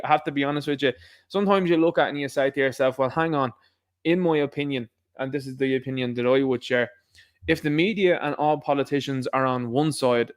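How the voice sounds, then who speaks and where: Animated Irish man talking commentary. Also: medium pitch. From Ireland. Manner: conversational and animated, mimicking others in asides. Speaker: host. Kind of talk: casual monologue commentary.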